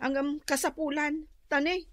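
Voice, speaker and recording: A woman's voice: high voice, steady tone, measured pace, no room sound, clean studio sound. An actress with an Ilocano accent playing an exasperated, worried wife and mother in a radio drama, nagging and plaintive.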